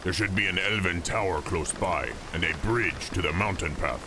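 A man's voice: low voice, moderate pace, noisy background, lightly acted. An actor playing a grim, weary male orc guide on the run in a fantasy audio drama, terse and solemn. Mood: grim, guarded.

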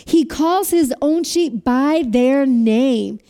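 High-voiced woman preaching a sermon. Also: takes it slowly. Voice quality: loud voice. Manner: asks the audience to repeat phrases.

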